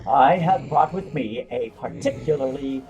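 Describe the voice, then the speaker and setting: An actor playing a theatrical, grandiose drunken adventurer in a comic fantasy audio drama, declaiming in flowery archaic language. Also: male voice, medium pitch, measured pace, a little mellow, lo-fi sound, slight background noise, lightly acted. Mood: conspiratorial.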